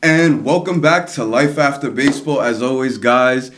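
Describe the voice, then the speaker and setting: A man's voice: medium pitch, moderate pace, background noise. A man co-hosting a podcast in a casual chat style, repeating phrases for emphasis. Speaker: podcast co-host.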